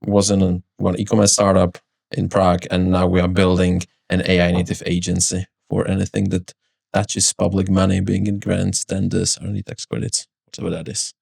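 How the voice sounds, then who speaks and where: A man's voice: low-pitched, monotone, moderate pace, gravelly, a little mellow, slightly rough, no room sound, clean studio sound. A man with a Czech accent, talking casually and explaining things as a guest in a roundtable. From Czech Republic. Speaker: podcast guest, startup founder.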